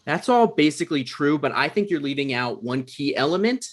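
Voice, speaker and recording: A man's voice: fairly high pitch, lively intonation, a little fast. A man reading the part of an earnest, pushy young hopeful TV writer in a comedy table read. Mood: earnest, nervy. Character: eager, pushy male aspiring TV writer.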